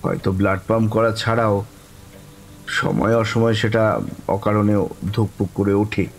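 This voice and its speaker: A man playing a courteous young man who narrates his own eerie encounter in a horror audio story, lingering and expressive. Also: on the low side, a little slow, creaky. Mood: smitten, wistful. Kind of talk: dramatic first-person horror story narration.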